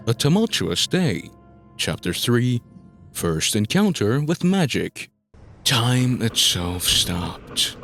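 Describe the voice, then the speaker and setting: A man's voice: mid-range voice, fairly bright tone. A man narrating a scripted story in a dramatic style, as the narrator of an audio drama.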